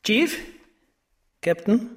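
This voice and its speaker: An actor playing an eager, middle-aged careerist police captain in a radio drama, deferential and officious. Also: male voice, drawn-out words. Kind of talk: German radio drama acting.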